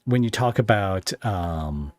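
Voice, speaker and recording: A man's voice: deep voice, lively intonation, slow pace, no echo. A man hosting a podcast in casual interview chat, speaking in a hesitant way as he thinks aloud. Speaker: podcast host.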